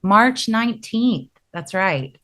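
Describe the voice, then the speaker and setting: A woman's voice: mid-range voice, animated, takes it slowly, a bit bright. A woman speaking on a podcast in a casual, promotional chat style.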